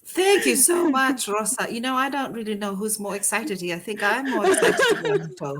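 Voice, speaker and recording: A middle-aged woman from Fiji, a poet, talking as a podcast guest with frequent laughs and thoughtful pauses. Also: a little high, sing-song, a bit bright, slight background noise. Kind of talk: conversational interview.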